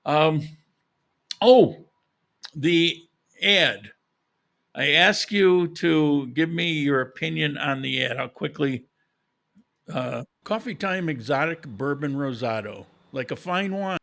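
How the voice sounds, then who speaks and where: A gravelly, bright-toned man talks to his viewers in a rambling, opinionated way. He restarts often, and he is short of breath with a voice that keeps giving out. His pitch sits a little high for a man. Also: slow pace. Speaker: vlogger. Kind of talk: casual chat.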